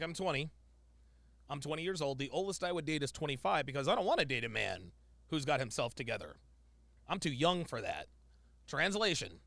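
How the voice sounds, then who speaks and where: A man's voice: fairly high pitch, fairly bright tone, dry recording. A man streaming live, reacting to a clip with emphatic, repetitive ranting commentary.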